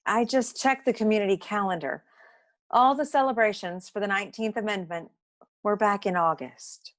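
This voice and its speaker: A woman's voice: mid-range voice, moderate pace, lightly acted. A woman playing a practical, sympathetic detective's office associate in a noir radio play.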